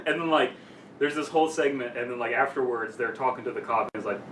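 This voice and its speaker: A man's voice: mid-range voice, fast. A man telling loose anecdotes as a podcast co-host, rambling and acting out what people said. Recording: echoey, distant mic with loud noise.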